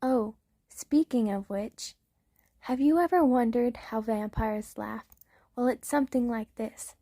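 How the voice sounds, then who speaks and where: Woman putting on a goofy clumsy vampire count, giggly, theatrical, slow and bright, dry close sound. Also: fairly high pitch, no echo. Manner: giggly, theatrical, breaks into laughter. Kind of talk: comedic ASMR roleplay reading.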